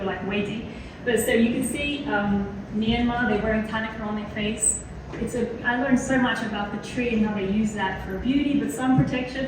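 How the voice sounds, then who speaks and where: A woman's voice: mid-range voice, distant mic, background noise. A woman with an Australian accent giving a chatty, enthusiastic keynote to a small audience, with plenty of fillers. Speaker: keynote speaker. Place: lecture hall. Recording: very echoey hall, heard from afar.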